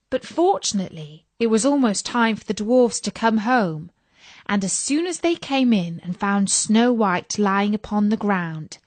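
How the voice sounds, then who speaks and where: A woman's voice: mid-range voice, no room sound. A woman storyteller reading a scripted bedtime fairy tale.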